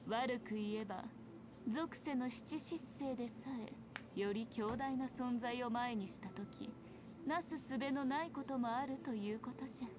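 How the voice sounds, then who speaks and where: A woman playing a haughty, playful ancient fox shrine maiden in an anime game, speaking in an archaic, knowing, measured way. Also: a little high, background noise, natural voice. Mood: wry, knowing. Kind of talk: Japanese anime game dialogue acting. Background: game music.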